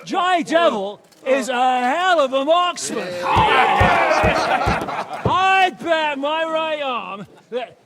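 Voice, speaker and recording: Boisterous drunken nobleman, very high shouting. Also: animated, extremely slow, pauses often, very noisy, heavily acted. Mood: drunken boasting. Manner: loud, shouting. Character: boisterous drunken medieval nobleman, boastful and reckless. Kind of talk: video game cutscene acting. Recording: loud rowdy crowd behind.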